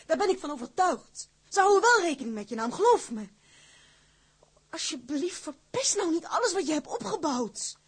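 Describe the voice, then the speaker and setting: An actress playing a strong-willed, shrewd woman in a radio drama, urgent and persuasive, with a breathy, husky voice. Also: very high voice, big pitch swings, normal speed. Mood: pleading.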